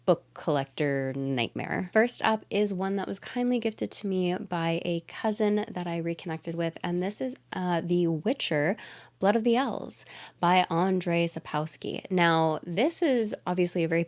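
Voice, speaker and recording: A woman's voice: lowish voice, a little mellow. A young woman from Canada hosting a casual book haul video, chatty and upbeat with frequent laughs, sounding a little congested from a mild cold. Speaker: booktube host.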